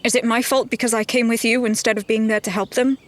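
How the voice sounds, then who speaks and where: A woman's voice: on the high side, flat delivery, fast, no echo, natural voice. A woman playing a blunt, loyal fantasy adventurer in a tabletop roleplaying game. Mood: frustrated. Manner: direct, firm.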